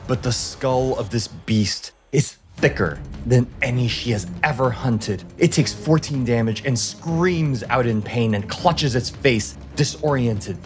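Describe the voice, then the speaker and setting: A man narrating a tabletop fantasy combat as game master, in a deliberate, vivid storytelling manner. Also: medium pitch. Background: music.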